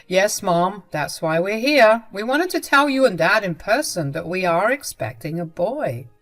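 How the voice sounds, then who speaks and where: A woman's voice: medium pitch, expressive, a little slow, a bit bright, soft background music. Woman voicing an earnest, bewildered young husband. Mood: upset. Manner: raised, pleading questions.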